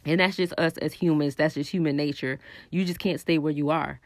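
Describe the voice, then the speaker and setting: A woman's voice: deep voice, fairly even intonation, a little fast, dry recording. A woman hosting her own podcast, talking through a cold with a stuffy nose and scratchy throat, earnest and encouraging as she thinks aloud with plenty of ums. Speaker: podcast host. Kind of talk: casual solo podcast monologue on spiritual growth.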